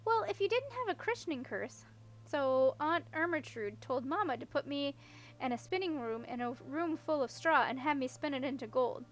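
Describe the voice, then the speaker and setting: A woman's voice: sits high, animated, normal speed. A woman voicing an awkward, unlucky young princess in a storybook, earnest and rambling as she explains herself. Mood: earnest storytelling. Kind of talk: audiobook character voice.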